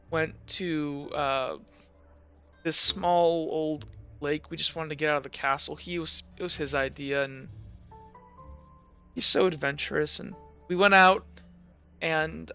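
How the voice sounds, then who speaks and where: Man voicing a halting, bright-voiced teenage noblewoman. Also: fairly high pitch, smooth, lo-fi sound. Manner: halting, hesitant confiding. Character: teenage noblewoman, tearful, candid, teasingly haughty.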